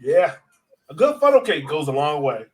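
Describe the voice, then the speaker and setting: A man hosting a sports podcast in a casual, animated, slangy and joking way. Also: on the high side, normal speed, a crowd in the background. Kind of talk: casual chat.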